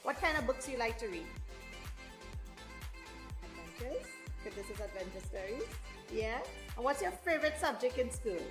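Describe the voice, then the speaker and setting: A woman's voice: on the high side, big pitch swings, normal speed. A woman hosting a children's storytime, speaking directly and encouragingly to parents and children. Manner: encouraging, addresses listeners directly. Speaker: host of a children's storytime show. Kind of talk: presenter talk to parents and children. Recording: roomy echo, faint music.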